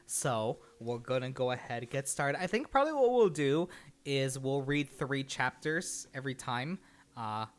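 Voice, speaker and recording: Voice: medium pitch, animated, normal speed. A livestream host chatting casually and rambling from thought to thought, with an easy habit of laughing and swearing.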